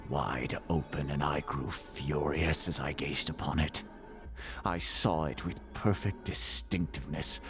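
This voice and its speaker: Horror audiobook lead: a male reader as an unhinged killer narrator, extremely low and gravelly, theatrically menacing, faint music underneath. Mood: tense, furious. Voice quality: very deep, creaky, breathy whispers. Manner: theatrical, deliberate, repeating words with menace. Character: unhinged murderous gothic narrator, nervous and gloating. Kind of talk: dramatic horror story reading. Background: faint background music.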